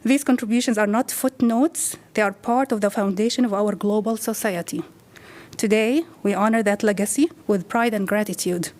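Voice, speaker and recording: A woman reading a formal prepared statement at the podium of a city council chamber, with measured delivery. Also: medium pitch, a little mellow. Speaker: community advocate.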